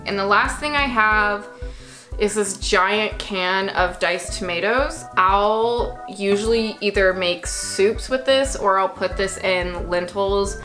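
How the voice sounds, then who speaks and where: Young woman, bright voice, casual haul vlog, dry asides, small bedroom, faint music. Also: medium pitch, takes it slowly. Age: young adult. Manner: chatty, matter-of-fact, with dry asides. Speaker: vlogger.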